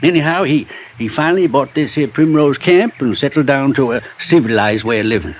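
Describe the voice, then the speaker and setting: An actor playing a folksy older rural American prospector with a rambling drawl, in 1950s radio drama style. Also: male voice, mid-range voice, brisk, natural voice. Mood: folksy, chatty. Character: folksy older male rock-moving prospector, plain-spoken. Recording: muffled, noisy old radio recording.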